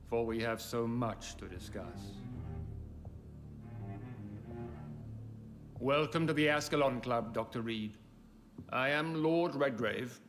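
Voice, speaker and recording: A haughty, ancient British vampire lord, played by a man in a video game. He speaks in a courtly, condescending way at a middle pitch for a man. Music plays clearly behind him. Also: lightly acted. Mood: grandly welcoming. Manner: pompous, courtly, measured, condescending. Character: haughty, silky, centuries-old aristocratic vampire lord.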